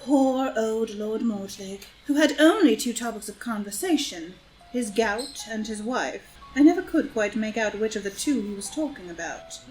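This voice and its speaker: Audio drama, actress as scheming society woman: higher-pitched, witty, cynical, languidly seductive, music underneath. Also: expressive, moderate pace, a little mellow, lightly acted, poor audio. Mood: arch, amused. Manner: languid, arch, epigrammatic delivery. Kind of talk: stage play read as audio drama.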